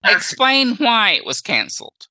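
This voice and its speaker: A woman interviewing a guest, asking measured questions and offering praise. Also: mid-range voice, big pitch swings, bright, forceful tone, dry recording.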